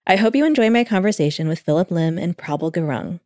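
A woman's voice: fairly low pitch, expressive, fast talker, a little mellow, no room sound. Woman reading a polished podcast intro. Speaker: podcast host. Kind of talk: scripted narration.

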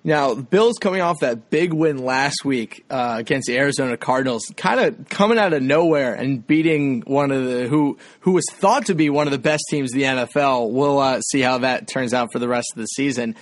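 A man's voice: medium pitch, brisk, hardly any pauses, dry recording. A man hosting a sports podcast, interviewing guests in an informal, conversational way with plenty of fillers.

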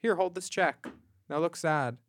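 Gossip co-host, male, blunt and bawdy, pitched a touch high, very dry sound. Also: measured pace, a bit bright, no echo. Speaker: podcast co-host. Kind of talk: casual gossip chat. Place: studio.

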